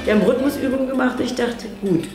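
A woman's voice: a little high, fast talker, reverberant. A woman talking reflectively in an interview as a music and rhythm teacher.

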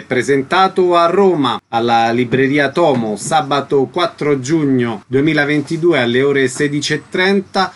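A man reading scripted listings and credits as a radio announcer. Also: fairly high pitch.

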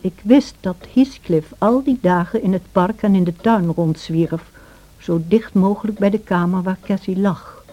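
A woman playing a sorrowful, gentle servant who narrates past events in a radio play in a measured way. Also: mid-range voice, natural voice. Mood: measured, sombre. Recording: dull old radio play recording.

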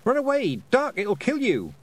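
A man's voice: fairly high pitch, huge pitch swings, normal speed, husky, pitched above the performer's own voice, exaggerated voice. A man voicing an earnest, determined but anxious young girl in a storybook reading. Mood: alarmed.

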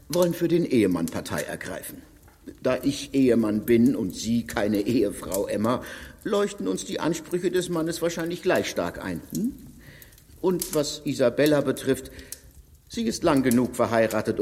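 An actor playing a reserved, ironic husband who speaks in a dry, matter-of-fact way. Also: male voice, medium pitch, darkish tone, long reverb tail, slight background noise. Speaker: actor in a radio play.

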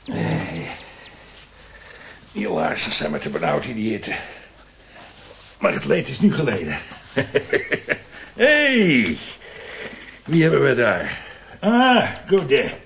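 A blunt, mocking, red-faced colonial man in a radio drama. His gravelly voice swings wildly up and down at a slow, grumbling pace, over a slightly noisy recording with clicks. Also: medium pitch, wildly swooping pitch, fairly bright tone, full character voice. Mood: hearty, blustering. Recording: faint background noise and clicks.

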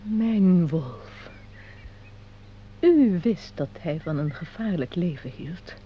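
An actress playing an imperious, scheming widow and company director in a radio play, haughty and formal in delivery. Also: female voice, lowish voice, expressive, takes it slowly, slight background noise. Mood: accusing, knowing. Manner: haughty, formal, theatrical declamation. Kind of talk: Dutch radio play acting. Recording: dull, muffled radio play recording.

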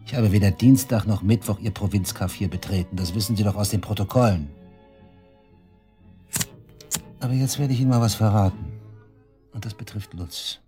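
Man acting sneering, very deep-voiced murder suspect. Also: a little fast, warm tone, faint music behind, close to an everyday voice. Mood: mocking. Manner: taunting, cynical, coarse colloquial talk. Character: cocky, sneering male murder suspect, shady club worker. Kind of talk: German radio drama acting, interrogation dialogue.

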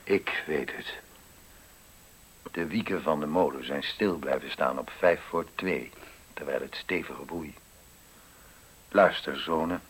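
An actor playing a stern, dying old miller in a radio play, solemn and halting, with a husky, worn voice. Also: male voice, a bass voice, a little hiss or hum. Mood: solemn, reproachful. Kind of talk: 1970s Dutch radio play acting.

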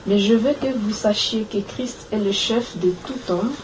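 A woman's voice: mid-range voice, measured pace, noisy background. A woman from Congo taking part in a Bible study, reading verses aloud and answering hesitantly. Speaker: study participant. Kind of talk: casual group discussion, reading aloud.

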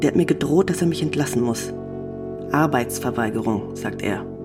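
An actress playing a brooding, coolly evasive woman journalist in a literary radio drama, musing in a flat, detached manner. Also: a bass voice, normal speed, over loud music. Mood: cool, bitter.

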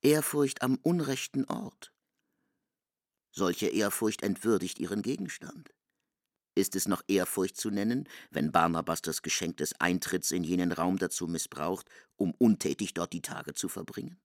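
Stern, gravelly low-voiced man. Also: expressive, no echo, clean studio sound. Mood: reproving. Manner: measured, rhetorical questioning. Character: stern, argumentative man reproving misplaced reverence. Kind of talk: literary radio drama delivery.

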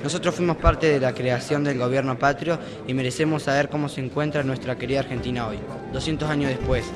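A young man playing a calm, conciliatory patriot leader in an amateur student radio play. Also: mid-range voice, fast talker, music in the background, lightly acted. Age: teenager or young adult.

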